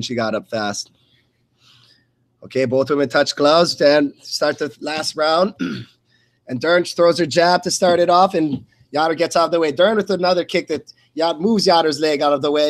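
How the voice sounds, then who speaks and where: A man giving live blow-by-blow sports commentary as a play-by-play announcer, in a halting delivery that repeats and stumbles over words. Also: a little high.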